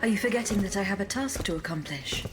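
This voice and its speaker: A woman's voice: medium pitch, lively intonation, quick pace, over music, natural voice. A woman voicing a reserved, determined female automaton warrior in a video game, formal and terse. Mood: guarded. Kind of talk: video game cutscene acting.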